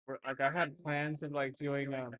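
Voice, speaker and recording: A man's voice: mid-range voice, little pitch movement, moderate pace, a bit bright, no echo. A young man chatting casually as he sets up a stream at home, rambling and hesitant with lots of fillers, speaking into a desktop mic. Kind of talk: casual chat while setting up a stream.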